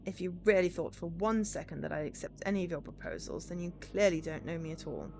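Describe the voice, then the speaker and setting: A woman's voice: lowish voice, lively intonation, fast, vocal fry, a little mellow, a little hiss or hum. A woman voicing a defiant, guarded young female captive in a cyberpunk game, blunt and suspicious.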